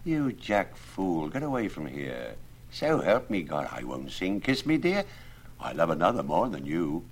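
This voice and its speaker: A man voicing a scornful, sharp-tongued young wife who mocks her suitor. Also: sits low, huge pitch swings, unhurried, slightly rough, heavily acted. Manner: curt, mocking.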